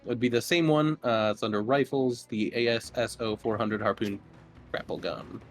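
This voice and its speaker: A man's voice: medium pitch, moderate pace. A man running a tabletop horror game as game master, narrating casually and feeling his way through explanations. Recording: faint music under the voice. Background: music.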